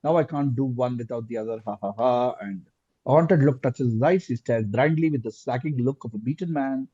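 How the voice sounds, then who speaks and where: Mellow, husky male quizmaster, dull audio. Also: mid-range voice, dry recording. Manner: deliberate, repeats answers and phrases. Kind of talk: reading quiz questions aloud, live.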